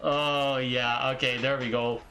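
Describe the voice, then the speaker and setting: A man's voice: mid-range voice, little pitch movement, measured pace, bright, forceful tone. A male streamer reacting casually to a tournament match, exclaiming and repeating short phrases. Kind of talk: casual live reaction chat.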